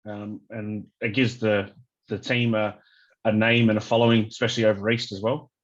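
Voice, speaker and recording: A man's voice: on the low side, level tone, moderate pace, highs cut off. A man from Australia with a New Zealand-tinged accent, hosting a casual interview in a hesitant, deferential manner. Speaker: interviewer, fan page host.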